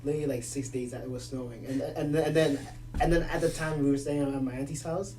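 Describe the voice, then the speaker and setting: A man's voice: a little high, fairly even intonation, fast, darkish tone, slight background noise. A young man in his twenties from Ethiopia, a guest telling stories in a casual podcast chat. He laughs often and repeats words as he gets going. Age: 20s. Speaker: guest, resident assistant. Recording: voice a bit far from the mic.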